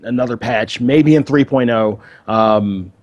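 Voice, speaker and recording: A man co-hosting a gaming podcast in casual, unscripted chat, with somewhat halting phrasing. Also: medium pitch, a little mellow, highs cut off. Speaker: podcast co-host. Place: indoors, online voice call.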